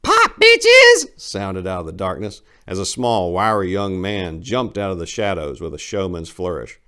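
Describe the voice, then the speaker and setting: A man's voice: low voice, fairly even intonation, moderate pace, clean tone. A man hosting and narrating his own short stories in a dramatic, scripted reading style. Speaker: host, author and narrator.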